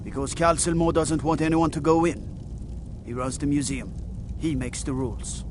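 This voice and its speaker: A man's voice: on the high side, fairly even intonation, moderate pace, noisy background, natural voice. An actor playing a stern, challenging male guard in a video game, speaking curtly and with authority. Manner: curt, authoritative. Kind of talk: video game character acting.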